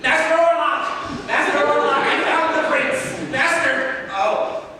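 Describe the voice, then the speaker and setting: A man playing an eager, excitable servant in a staged play reading, calling out loudly. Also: very high voice. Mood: excited. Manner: loud, drawn-out calling. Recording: echoey hall, distant mic, crowd sounds.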